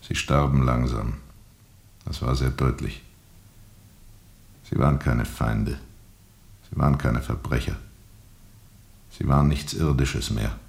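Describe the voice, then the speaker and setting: An actor playing a brooding, haunted riverboat captain in a literary radio drama, deliberate and searching for his words. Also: a bass voice, steady tone, darkish tone, heavily acted. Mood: grim, haunted. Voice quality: gravelly, creaky.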